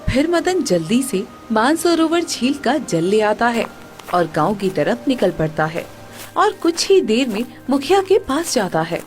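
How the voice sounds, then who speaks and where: A woman narrates a scripted fable. Her voice sits a little high, and music plays behind her storytelling. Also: big pitch swings, unhurried.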